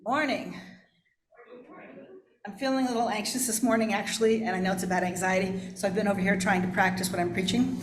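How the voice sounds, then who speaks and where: A woman's voice: mid-range voice, steady tone, a little fast, crowd noise. A woman pastor giving a personal, confessional sermon in a candid, self-deprecating way. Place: church, indoors. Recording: echoey room.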